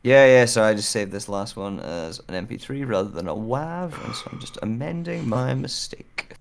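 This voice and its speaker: A man's voice: medium pitch, expressive, normal speed, vocal fry. A man who works as a voice actor, chatting casually during a recording session in a self-effacing, apologetic way.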